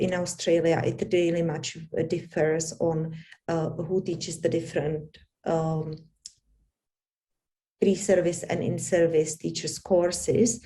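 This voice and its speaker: Low, flat-voiced woman with a Czech accent. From Czech Republic. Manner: halting, many fillers and restarts. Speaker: academic panelist. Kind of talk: online panel presentation.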